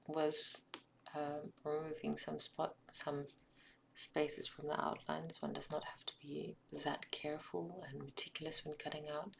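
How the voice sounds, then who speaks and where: A South African woman whose first language is Afrikaans, narrating a craft project in a soft, often whispered ASMR style with frequent ums. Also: deep voice, creaky, a little hiss or hum. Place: home craft table.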